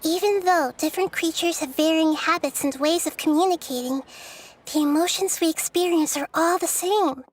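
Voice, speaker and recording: A woman voicing a small, gentle, wise child goddess in a video game, speaking softly and thoughtfully. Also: very high voice, moderate pace, a little hiss or hum. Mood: gentle, reassuring. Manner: soft-spoken, thoughtful, articulate. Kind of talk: video game character acting.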